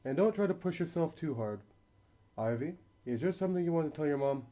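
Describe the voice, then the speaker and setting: Man playing a gentle dad, dark mellow voice. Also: on the high side, a little fast, a little hiss or hum, lightly acted. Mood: reassuring. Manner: calm, reassuring. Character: gentle, patient father of a young girl. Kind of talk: amateur radio drama acting.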